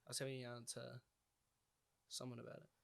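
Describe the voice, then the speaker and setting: A man's voice: medium pitch, completely flat delivery, quick pace, creaky, a bit bright. Casual New Zealand man chatting. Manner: informal and slangy. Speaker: podcast guest, hip-hop artist. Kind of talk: casual conversation.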